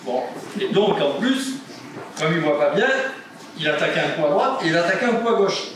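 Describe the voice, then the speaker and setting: A man's voice: on the high side, animated, normal speed. A man from Nice giving an informal, joking tribute speech at a judo ceremony, with many fillers. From Nice, France. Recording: echoey hall, distant mic, audible noise.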